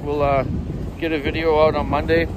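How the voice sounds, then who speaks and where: A man's voice: on the high side, little pitch movement, moderate pace, dull audio. A Canadian man in his fifties talking casually to camera in a rambling, folksy way, recorded on a phone at arm's length on a ferry deck. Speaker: vlogger, chainsaw shop owner. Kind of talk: casual vlog chat to camera. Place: open deck and windowed shelter of a ferry at sea. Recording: loud wind and boat noise.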